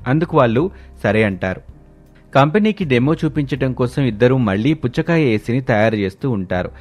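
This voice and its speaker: Man, storyteller, even tone, mid pitch, soft music behind. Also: moderate pace. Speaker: narrator. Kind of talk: scripted story narration.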